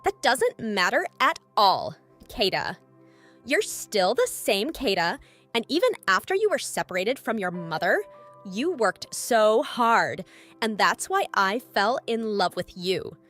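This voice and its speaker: Bright, animated teenage rich girl acted by a woman. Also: mid-range voice, unhurried, faint music behind. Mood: insistent, affectionate. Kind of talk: anime-style romantic comedy dub.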